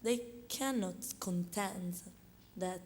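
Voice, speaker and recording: Hoarse Italian woman, slow and halting. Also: mid-range voice, darkish tone, a little hiss or hum. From Italy. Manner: hesitant, many fillers and restarts. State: hoarse from a cold. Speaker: conference respondent. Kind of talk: academic conference response.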